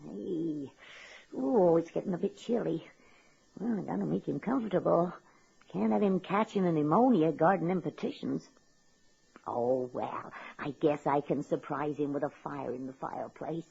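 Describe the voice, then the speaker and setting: Folksy, husky, plucky woman. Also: deep voice, normal speed, warm tone, full character voice. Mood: fussing. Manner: homespun, plain-spoken. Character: folksy, good-hearted, plucky mayoral candidate, a woman. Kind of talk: radio comedy-drama acting. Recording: muffled old radio recording.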